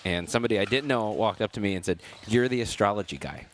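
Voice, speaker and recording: A man's voice: on the low side, quick pace, slight background noise. A man commentating live pickleball in an indoor gym, chatty and rambling, often chuckling mid-sentence. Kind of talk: casual live sports commentary.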